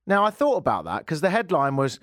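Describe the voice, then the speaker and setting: A male radio host with a slightly raised, very animated voice, full of sardonic asides. His rambling chat sits dry and close on a studio mic. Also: fairly high pitch, fairly quick, dry recording.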